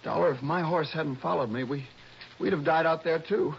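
An actor playing a shaken, grieving young city man in a 1960s radio drama, speaking in a halting, gravelly voice. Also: on the high side. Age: young adult. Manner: halting, faltering. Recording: muffled, noisy old radio recording.

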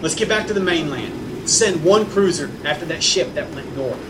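A man playing a gruff, distrustful navy captain in an audio drama, with music behind him. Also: on the high side, lively intonation, moderate pace, background noise, close to an everyday voice. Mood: brisk commanding.

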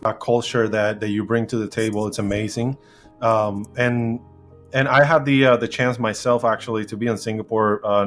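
A man hosting an interview, thanking his guest warmly and asking long questions full of fillers. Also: lowish voice. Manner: appreciative, with frequent fillers. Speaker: podcast host.

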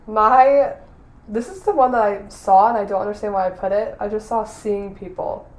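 A young woman co-hosting a casual podcast, blunt and joking, and a little hoarse from losing her voice. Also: mid-range voice, moderate pace, darkish tone. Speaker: podcast co-host. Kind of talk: casual chat. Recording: echoey room.

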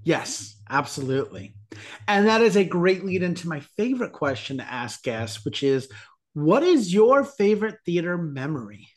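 A woman's voice: on the low side, very animated, moderate pace. A woman hosting a theater podcast interview, asking questions and praising her guest's answers effusively. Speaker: podcast host.

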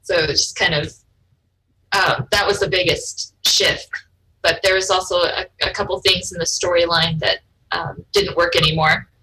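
Bright-voiced woman, chatty and laughing. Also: lowish voice, fairly even intonation, moderate pace, distant mic, rough recording. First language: German. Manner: chatty, laughs often, trails off and restarts. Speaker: author and artist, interview guest. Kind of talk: casual interview conversation.

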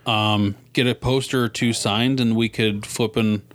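A man's voice: fairly low pitch, fairly even intonation, a little slow. A man co-hosting a podcast, chatting casually and informally with plenty of easy slang. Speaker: podcast co-host. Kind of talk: casual chat.

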